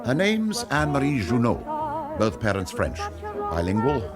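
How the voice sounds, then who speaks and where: A man's voice: mid-range voice, wildly swooping pitch, normal speed. An authoritative spy chief in a wartime radio drama. He is clipped and matter-of-fact, giving orders with hugely varied intonation over prominent music. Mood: firm, grave. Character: brisk, authoritative male wartime intelligence chief. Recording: loud music behind the voice.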